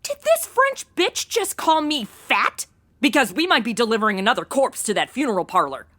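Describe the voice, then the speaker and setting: Casting: ditzy, sarcastic modern girl, highish forceful voice, very lively, audio comedy. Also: normal speed. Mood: blunt, self-absorbed. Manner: sarcastic, exclaiming, modern slangy delivery. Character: brash, self-absorbed, ditzy modern young woman. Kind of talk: comedic audio drama acting.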